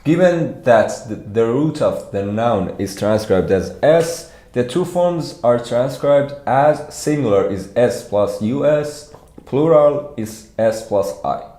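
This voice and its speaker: A man with a Persian accent teaches programming in an informal livestream style. He takes his time, haltingly working through his thoughts aloud. His voice rings off the walls of an echoey room. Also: mid-range voice, animated, dark tone. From Iran. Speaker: competitive programming instructor. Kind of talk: informal livestreamed problem walkthrough.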